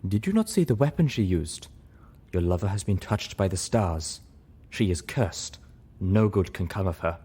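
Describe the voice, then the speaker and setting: A man's voice: low voice, lively intonation, dark tone, breathy edge. Man voicing an aloof, grave female zebra. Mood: grave, judging. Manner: measured, remote.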